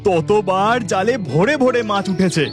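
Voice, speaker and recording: A man's voice: sits high, measured pace, soft background music. A man voicing a humble, eager village fisherman full of amazement in a cartoon story. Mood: amazed. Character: excited, humble poor village fisherman and husband.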